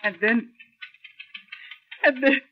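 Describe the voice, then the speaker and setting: An actress playing a frightened but defiant wife, tense and halting, in a 1940s radio drama. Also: female voice, a little high, a little hiss or hum. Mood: shaken. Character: frightened, defiant woman pressured by murderous husband. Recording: tinny, narrow old radio recording.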